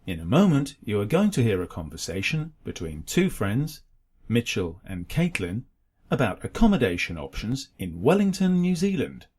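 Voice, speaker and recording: A man's voice: lowish voice, sing-song, moderate pace, darkish tone, breathy edge. A man reading scripted exam instructions as a test announcer, in a formal, instructional manner.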